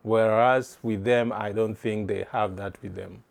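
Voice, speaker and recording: A middle-aged man from East Africa answering interview questions in a halting way, restarting phrases as he searches for words. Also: on the low side, steady tone, creaky.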